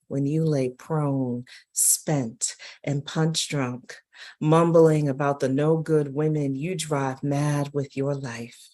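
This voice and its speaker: A woman reads poetry dramatically in a very low register. Her delivery is slow and measured, giving each short phrase its own space. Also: mellow.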